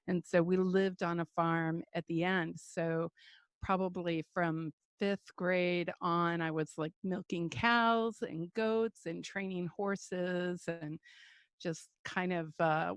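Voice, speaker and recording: An older woman from the United States speaks slowly and haltingly, with plenty of pauses and fillers. Her voice sits a little low. The sound is dry and close, as if from a home computer mic. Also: smooth, no room sound. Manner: slow, halting, many fillers. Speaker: guest speaker. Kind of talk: life story chat. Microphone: computer mic on a video call.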